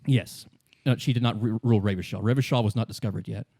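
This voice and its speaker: A man narrating as game master, explaining fictional lore in a measured, solemn and deliberate storytelling manner. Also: lowish voice, fairly even intonation, mellow, no room sound, studio quality. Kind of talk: improvised lore exposition in a tabletop game.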